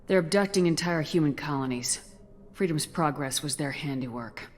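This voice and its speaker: An actress playing a determined military spaceship commander in a video game, speaking in a direct, businesslike way. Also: female voice, deep voice, moderate pace. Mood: firm, businesslike. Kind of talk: video game cutscene acting.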